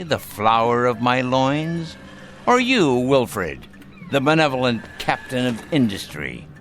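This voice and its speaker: An actor playing a wealthy, sardonic old grandfather with a dry, unhurried manner in a radio drama. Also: medium pitch, a bit bright. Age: elderly. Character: sardonic, dying old rich patriarch, slyly patient.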